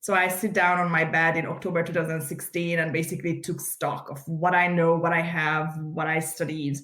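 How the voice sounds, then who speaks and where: A woman from Hungary, an animated podcast guest who laughs easily and fills her talk with "like" and "you know", speaking over a video call. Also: sits low, moderate pace. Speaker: guest, community founder. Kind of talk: casual interview chat. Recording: echoey room on a video call.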